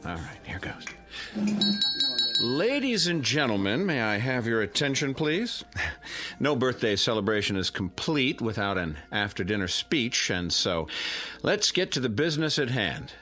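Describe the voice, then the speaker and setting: An American actor playing a genial, sentimental middle-aged neighbor who makes a halting, teasing after-dinner speech in a radio drama. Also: male voice, mid-range voice, sing-song, pushed and bright, soft background music. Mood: warm teasing.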